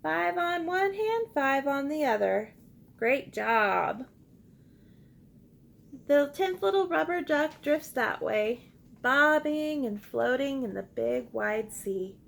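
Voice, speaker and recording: Female children's read-aloud teacher: high, creaky voice, unhurried pace, encouraging and expressive delivery. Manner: encouraging, expressive, addresses young children with simple questions. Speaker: teacher reading a picture book aloud. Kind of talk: interactive children's read-aloud with call-and-response prompts.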